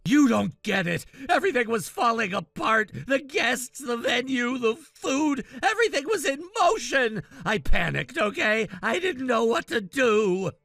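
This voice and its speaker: An actor voicing a desperate, angry young groom who shouts and defends himself frantically. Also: medium pitch, sing-song, a little slow, creaky, few pauses, no room sound, exaggerated voice.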